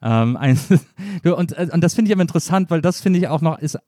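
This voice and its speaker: A man's voice: on the high side, animated, quick pace, mellow, dry recording, clean studio sound. A man from the Cologne area asking long, rambling interview questions full of hesitations. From Cologne area, Germany.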